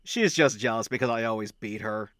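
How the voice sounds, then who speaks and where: A man's voice: medium pitch, brisk, fairly bright tone, no echo, studio quality, close to an everyday voice. A man playing an awkward, chatty young werewolf in a casual audio roleplay, rambling and blurting like a cheeky little brother. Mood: defiant, teasing.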